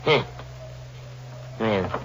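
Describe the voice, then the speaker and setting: An actor playing a cranky, dismissive old man, grumbling and curt, in 1940s radio style. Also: very slow. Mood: grumpy. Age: older. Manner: grumbling, curt, repetitive "yeah". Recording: dull, noisy old radio recording.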